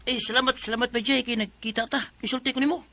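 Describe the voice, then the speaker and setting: Tattletale buddy, Cebuano accent, very high sharp voice, earnest radio drama delivery. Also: male voice, fairly even intonation, fast talker, faint music behind, heavily acted. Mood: urgent, insistent. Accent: Filipino, Cebuano.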